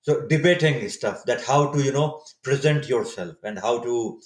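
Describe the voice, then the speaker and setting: A man in his fifties from Saudi Arabia, a native Urdu speaker, telling his story as a podcast guest, thoughtful and often pausing to restart his words. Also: medium pitch. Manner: reflective, searching for words with frequent restarts. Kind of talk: casual interview storytelling. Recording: echoey room sound.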